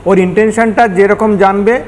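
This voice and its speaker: High-voiced older Bengali man in echoey room. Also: steady tone, normal speed, darkish tone. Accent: Bengali from Kolkata. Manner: explains step by step, mixing in English technical phrases. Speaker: expert guest, former air traffic control manager. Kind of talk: explanatory news interview answers. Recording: echoey hall with background noise.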